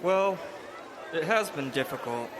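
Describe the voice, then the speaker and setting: An actor playing Joseph, a tired young husband on the road, in a live radio drama, speaking plainly over crowd noise. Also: male voice, on the high side, expressive, unhurried. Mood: weary. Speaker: traveler interviewed. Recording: crowd and street noise behind.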